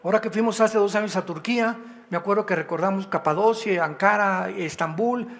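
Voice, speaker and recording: A Mexican man preaches with a high voice that rings through a large hall's long reverb. He is emphatic and a little tired, throwing rhetorical questions at his congregation as he teaches. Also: brisk, a bit bright. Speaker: pastor. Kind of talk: sermon teaching. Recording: hall reverb.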